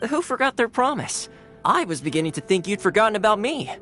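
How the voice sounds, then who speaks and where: Playful, courteous young man with swooping pitch. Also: big pitch swings, fast, over music. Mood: playful teasing. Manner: teasing, then earnest and courteous. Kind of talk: video game voice acting.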